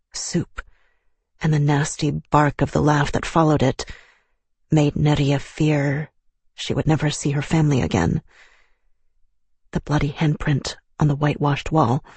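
Audiobook narrator, measured and solemn, mid-pitched and husky. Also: a little mellow, dry recording. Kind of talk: scripted narration.

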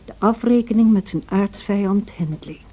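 A woman playing a sensible, motherly housekeeper who recounts events in an old radio play, measured and gently admonishing. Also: mid-range voice, muffled recording, close to an everyday voice. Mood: recounting.

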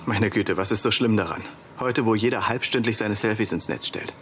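An actor in a radio drama playing an excitable start-up partner who speaks in a colloquial, exclamatory way. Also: male voice, lowish voice, brisk, noisy background, close to an everyday voice. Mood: exasperated. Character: excitable, casual male tech start-up partner.

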